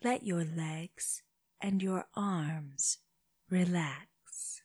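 A woman leading a guided meditation for children, speaking gently in short phrases with long pauses, her breathy voice often dropping toward a whisper. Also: fairly low pitch, no room sound, studio quality. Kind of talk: slow guided body scan meditation.

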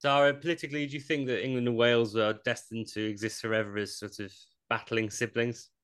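A man hosting a podcast, asking guests questions in a polite, measured way. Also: medium pitch, fairly even intonation, fairly bright tone, clean tone. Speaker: podcast host. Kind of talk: interview questions.

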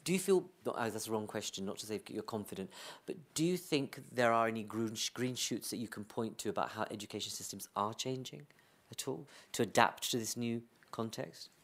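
A panel moderator with a mid-pitched voice poses a rambling question. The phrasing stops and restarts as the speaker corrects themselves out loud. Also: lively intonation, brisk.

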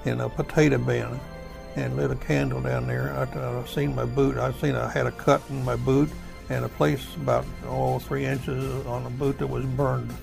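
An older American man with a very creaky, gravelly voice and an even tone. He is a veteran reminiscing in halting, plain words, with music playing behind him. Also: mid-range voice. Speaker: war veteran recounting his experience. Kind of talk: unscripted oral history reminiscence.

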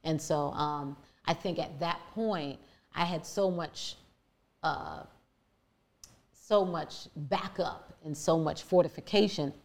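A woman with a naturally deep, low-pitched voice speaks candidly about her own life. She talks emphatically and with conviction, stumbling into restarts and fillers as her thoughts rush ahead. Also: slow. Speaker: guest. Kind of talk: candid personal storytelling.

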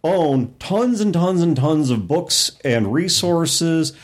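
A man's voice: fairly high pitch, expressive, slow. A man hosting a casual panel discussion, talking in an analytical way and explaining with acted-out examples. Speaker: podcast host.

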